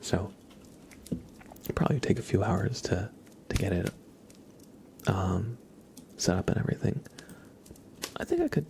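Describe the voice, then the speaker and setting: A man's voice: lowish voice, lively intonation, measured pace, creaky, long pauses, mellow, slight background noise. A young man whispering casual, rambling chat as the host of an ASMR livestream, often hesitating.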